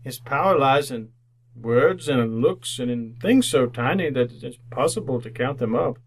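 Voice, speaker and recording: An actor playing an old miser shaken by remorse and wistful joy, in old-time radio drama style, speaking haltingly and repeating words. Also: male voice, medium pitch, expressive. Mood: earnest.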